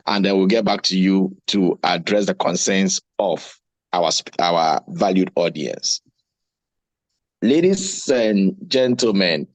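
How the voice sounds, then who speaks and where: A man's voice: lowish voice, no room sound, low-quality recording. A man hosting a seminar, giving formal, ceremonious introductions of speakers. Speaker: host. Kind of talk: moderating a seminar.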